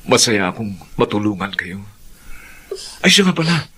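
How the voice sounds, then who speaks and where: An actor playing a kind, generous young family friend in a Filipino radio drama, gentle and reassuring. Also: male voice, fairly low pitch, big pitch swings, moderate pace, husky, heavily acted.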